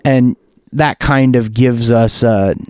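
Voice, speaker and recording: A man with a mid-pitched voice walks through software in a casual tutorial style. He talks informally, thinking aloud, and the sound is close and dry. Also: takes it slowly, smooth, no echo. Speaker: music producer demonstrating software.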